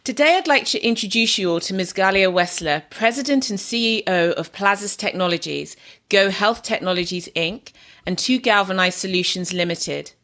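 A woman's voice: medium pitch, normal speed, fairly bright tone. A woman doctor hosting a podcast, reading a formal scripted introduction and asking questions. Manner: formal, reads a prepared script.

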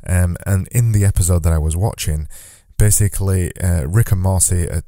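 A man hosting a solo podcast, talking earnestly and reflectively, lifting a voice he calls naturally monotone. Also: sits low, no echo, clean studio sound. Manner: earnest, reflective, says he lifts his normally monotone voice. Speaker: podcast host.

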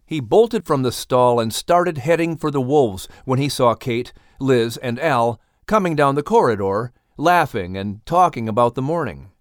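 A man's voice: mid-range voice, expressive, a little slow, few pauses, no echo, studio quality. A man hosting and narrating a scripted animal story as a storyteller. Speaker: host and narrator.

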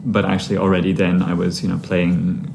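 A man from Sri Lanka in his thirties, a conductor talking through his path in a relaxed interview, thinking aloud with many fillers and restarts. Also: sits low, fairly quick, vocal fry, a little hiss or hum. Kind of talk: conversational interview answers.

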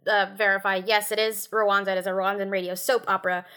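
Female critic and panelist, crisp bright tone, average pitch, exact and informative. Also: level tone, fast.

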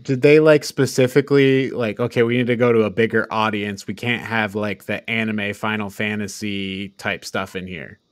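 A man's voice: lowish voice, steady tone, moderate pace, hardly any pauses, no echo, studio quality. A man hosting a casual film review podcast, speaking bluntly and informally. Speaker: podcast host.

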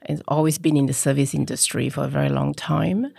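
Low, gravelly-voiced woman from Singapore. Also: steady tone, dark tone, no echo, studio quality. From Malaysia, raised in Singapore. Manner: halting, many fillers and restarts. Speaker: guest, business founder. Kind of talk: casual interview.

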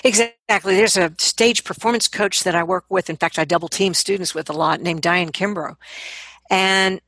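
Woman, vocal coach, lowish husky voice, emphatic explanations, conversational interview. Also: fairly even intonation, moderate pace, a bit bright.

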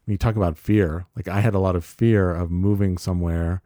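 A man co-hosting a podcast, speaking in a measured and thoughtful way with some halting restarts. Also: sits low, fairly even intonation, warm tone, no echo, studio quality. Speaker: podcast co-host. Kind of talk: reflective conversation.

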